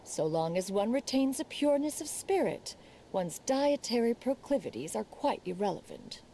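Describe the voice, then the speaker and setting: A proud, aloof immortal mistress in a fantasy game. Her mature voice is dark and mellow, with lively rises and falls and stately, archaic phrasing. Some clear noise sits on the recording. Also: fairly high pitch, moderate pace. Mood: haughty. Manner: haughty, formal, archaic diction, says "one". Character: proud, aloof immortal crane adeptus woman, stern elder master. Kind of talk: animated fantasy game acting.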